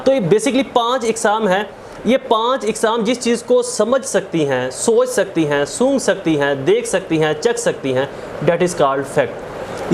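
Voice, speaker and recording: A man's voice: sits high, a little mellow, slight background noise. A man with a Pakistani accent giving an explanatory law lecture, didactic, listing points and repeating key phrases. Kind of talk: explanatory lecture mixing Urdu with English legal terms.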